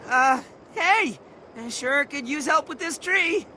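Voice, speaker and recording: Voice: sits high, animated, slow, slight background noise, heavily acted. An actor voicing a timid, earnest, bumbling small male creature in a video game, with an American accent and a hesitant, nervous way of talking. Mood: eager. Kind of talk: cartoonish video game character acting. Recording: loud game music behind. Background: game music.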